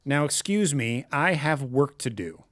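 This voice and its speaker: An awkward, guarded man in a radio play. He is polite yet defensive, with a medium-pitched voice and animated, shifting intonation. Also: unhurried. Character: guarded, awkward loner man who talks to his gourd. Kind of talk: audio drama acting.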